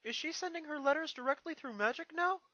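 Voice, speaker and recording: A man voicing an excitable young boy dragon from a cartoon fantasy, eager and full of questions. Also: very high voice, fast talker, no room sound, pitched above the performer's own voice. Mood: curious.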